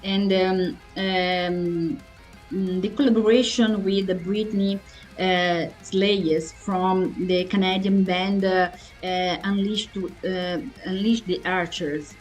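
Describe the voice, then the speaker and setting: A woman's voice: lowish voice, fairly even intonation, soft background music, low-quality recording. A woman asking prepared interview questions in a hesitant, stumbling way. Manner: hesitant, stumbling delivery with searching pauses.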